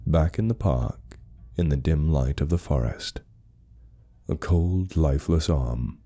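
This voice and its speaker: A man's voice: extremely low pitch, slow, dark tone. A man narrating a scripted horror story in a grave, suspenseful manner.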